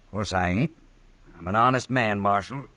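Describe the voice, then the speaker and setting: A man's voice: fairly low pitch, normal speed, pushed and bright. A man playing an honest, stubborn mule driver with a rural American accent, plain-spoken, in an old-time radio western. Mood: indignant. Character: honest, stubborn mule-driving freight hauler.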